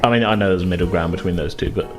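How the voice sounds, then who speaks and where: A man's voice: low-pitched, rapid-fire, music in the background. A man acting as game master, casually improvising narration in a loose, conversational, hedging way.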